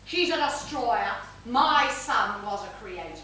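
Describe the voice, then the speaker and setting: A woman on stage playing a domineering, wealthy older widow with a theatrical, deliberate delivery. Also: on the high side, expressive, pushed and bright. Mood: cold, commanding. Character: imperious wealthy older widow, commanding and ruthless mother. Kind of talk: stage play acting. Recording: very echoey theatre, distant mic, noisy.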